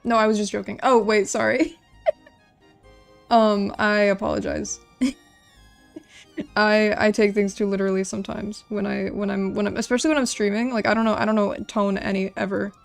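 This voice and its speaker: Creaky-voiced woman chatting on a casual stream. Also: mid-range voice, little pitch movement, normal speed, faint music behind. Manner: informal, rambling, repeats words and phrases. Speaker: livestreamer drawing and designing game characters. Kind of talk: casual livestream chat while drawing, reading out chat messages.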